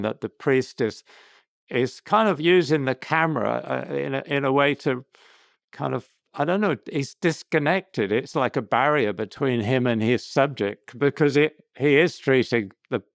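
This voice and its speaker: A man's voice: mid-range voice, vocal fry, no room sound, studio quality. A man hosting an interview podcast, asking long, musing questions with hesitations. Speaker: podcast host.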